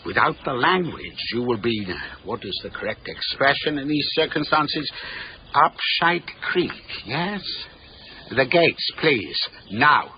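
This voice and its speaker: An actor playing a fussy, imperious personal assistant, formal, precise and insistent, in a radio drama. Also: male voice, mid-range voice, expressive, slow. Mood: coldly threatening. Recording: dull radio recording with some background noise.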